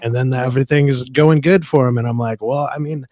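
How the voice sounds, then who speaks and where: A man's voice: medium pitch, fairly even intonation, fairly quick, darkish tone. A man in his thirties chatting candidly and casually on a podcast. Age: 30s. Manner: candid, rambling, laid-back. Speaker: podcast co-host. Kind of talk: casual chat.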